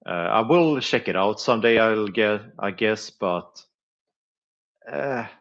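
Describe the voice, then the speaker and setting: A man's voice: fairly low pitch, lively intonation, takes it slowly, a bit bright. A man chatting casually on a live stream, hesitant and full of filler sounds, often chuckling between thoughts. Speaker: live streamer reviewing flea market finds. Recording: dull lo-fi sound.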